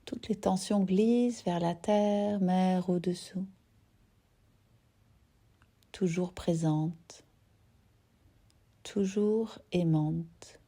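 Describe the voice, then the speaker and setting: A woman teaching yoga nidra, guiding a relaxation gently and unhurriedly in short phrases with soft, breathy endings. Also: on the low side, steady tone, extremely slow, pauses often. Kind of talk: guided relaxation meditation.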